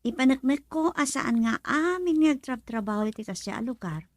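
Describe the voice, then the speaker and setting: An actress playing a young woman who works at a club, asking her father lively questions. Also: high-pitched, fairly quick, dry recording. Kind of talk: radio drama acting.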